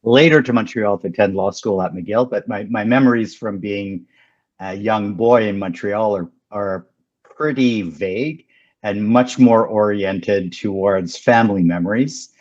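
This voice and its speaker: A man in his fifties with a very low voice whose first language is French. He talks in a measured, thoughtful way, pausing on ums and restarting his sentences. The sound is muffled and lo-fi. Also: darkish tone. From Canada. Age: 50s. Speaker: guest, company founder. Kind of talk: casual interview chat.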